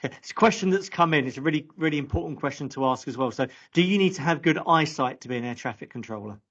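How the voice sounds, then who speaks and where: A man's voice: a little high, lively intonation, fast talker, a bit bright. A man hosting a live online session, conversational and a little tentative, rephrasing his questions as he goes. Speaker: host of a live careers webinar. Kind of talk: conversational interviewing. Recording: dull lo-fi online call audio.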